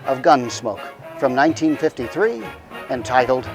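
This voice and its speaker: A man's voice: mid-range voice, expressive, moderate pace, over music, rough recording. A man hosting a radio show, giving a scripted introduction. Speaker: host.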